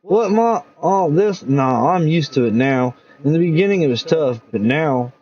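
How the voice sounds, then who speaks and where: Man doing a young soldier home from war, folksy, easygoing, highish voice, lo-fi. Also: expressive, normal speed, a little mellow, a little hiss or hum, lightly acted. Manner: plainspoken, folksy. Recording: dull lo-fi recording.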